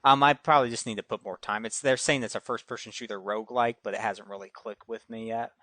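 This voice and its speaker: A man's voice: fairly low pitch, steady tone, fast, sharp tone, no echo. A man co-hosting a podcast, giving his opinions in informal, casual chat. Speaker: podcast co-host.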